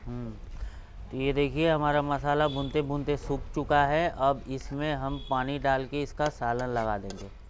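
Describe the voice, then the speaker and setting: A man's voice: mid-range voice, steady tone, unhurried, dull audio, slight background noise. Man explaining a cooking recipe. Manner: explains each step, points things out. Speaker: cooking channel host. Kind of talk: step-by-step cooking demonstration. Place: outdoors on a brick courtyard beside a clay stove.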